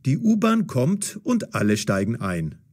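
A man reads a scripted story with measured, careful diction. His mid-range voice moves animatedly and has a slightly rough, husky edge. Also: mid-range voice, wildly swooping pitch, a little mellow. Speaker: audio story narrator. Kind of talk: scripted narration of a language-learner story.

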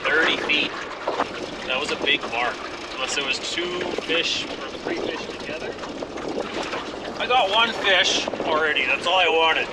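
A man's voice: on the high side, measured pace, long pauses. Man filming himself fishing in wind. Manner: chatty, talks to camera while working the rod. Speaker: fishing vlogger. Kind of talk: casual narration while fishing. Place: small open boat on a windy mountain lake. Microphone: action camera mounted on the boat. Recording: distant camera mic with loud wind and water. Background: wind and boat noise.

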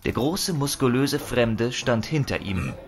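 A man's voice: medium pitch, unhurried, slight background noise. A man narrating a fantasy audio drama in a theatrical, conversational storytelling style with musing asides.

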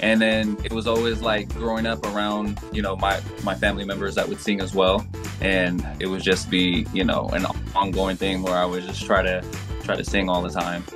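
A man's voice: sits low, normal speed, over music. A man in his thirties from Sacramento, California, talking casually over a video call as an interview guest, rambling with fillers. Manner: relaxed, rambling with fillers and restarts. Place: indoor room.

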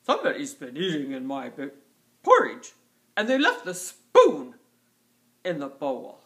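A woman's voice: low voice, wildly swooping pitch, a little slow, fairly bright tone, voice pushed down, exaggerated voice. A woman voicing a giant, indignant papa bear in a children's storybook, complaining emphatically. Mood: indignant, booming.

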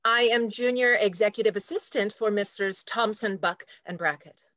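A woman's voice: medium pitch, normal speed, fairly bright tone, no echo, lightly acted. A woman playing a prim, territorial junior executive assistant in a stage play reading, with a precise, officious, matter-of-fact delivery. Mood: curt, officious. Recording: dry video call.

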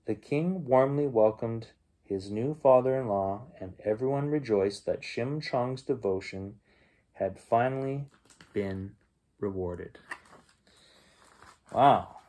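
A middle-aged man reads a children's bedtime story aloud as its host, with expressive storytelling. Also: mid-range voice, slow, pauses often. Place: small bedroom at home, indoors.

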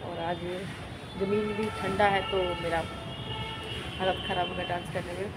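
A young woman in her twenties with a hoarse throat chats casually as she films herself around her home and up on the roof. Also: lowish voice, level tone, moderate pace, very noisy. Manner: chatty, walking and filming herself while doing chores. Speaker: vlogger. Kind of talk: casual vlog chat. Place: home kitchen and open rooftop. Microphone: phone held at arm's length.

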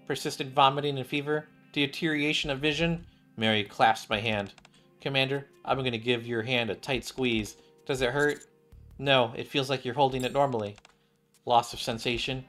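A man's voice: medium pitch, a bit bright. A man in his thirties casually reading visual novel dialogue aloud as he streams. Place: indoor room at a gaming setup. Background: game music, keyboard clicks.

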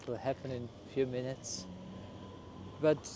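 A man with a medium-pitched voice gives a casual walking tour. He talks slowly, breaking his thoughts into short, halting phrases. Clear street noise and passing vehicles sit behind his voice. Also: fairly even intonation. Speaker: vlogger hosting a walking tour. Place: outdoors on a city street at night, walking. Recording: noisy outdoor street with traffic. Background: street ambience and passing vehicles.

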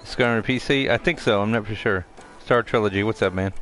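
Deep-voiced man chatting on a game stream. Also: fairly quick. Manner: relaxed, chatty, repeats phrases, answers chat as he plays. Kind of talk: casual live-stream chat reading viewer comments. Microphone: headset. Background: game music.

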